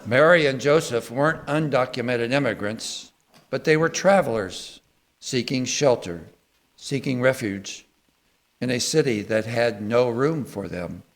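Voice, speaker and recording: A man speaking to a congregation in an earnest, measured way, giving a sermon and liturgical readings. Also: mid-range voice. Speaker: service speaker at a church.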